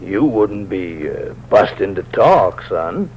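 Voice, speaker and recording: A man's voice: deep voice, very animated, takes it slowly, exaggerated voice. An actor playing a patient, fatherly county sheriff talking to a dying man. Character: steady middle-aged male county sheriff. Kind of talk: 1950s radio drama acting. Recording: dull old radio recording with faint hiss.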